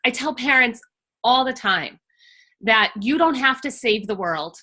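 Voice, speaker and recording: A woman's voice: fairly high pitch, animated, unhurried, sharp tone. A middle-aged woman, an education coach, speaking with emphatic passion in a casual conversational workshop. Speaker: IEP coach and workshop host.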